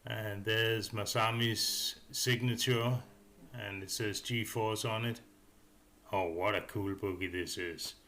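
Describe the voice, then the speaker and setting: Casting: man, deep voice, casual hobby reviewer doing voice-over, halting delivery with fillers, noticeable background noise. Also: fairly even intonation, sharp tone. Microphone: voice-over microphone.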